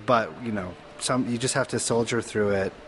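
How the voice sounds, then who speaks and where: A man appearing as a guest on a podcast, talking in a relaxed, wry and rambling way. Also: mid-range voice, normal speed, a little hiss or hum. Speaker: festival organizer, guest. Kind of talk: casual podcast chat.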